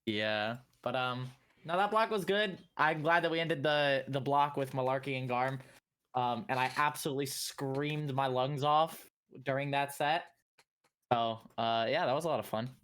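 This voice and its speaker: A man's voice: mid-range voice, normal speed, a bit bright, slight background noise. A man in casual banter as an esports commentator, slangy and teasing with his friends.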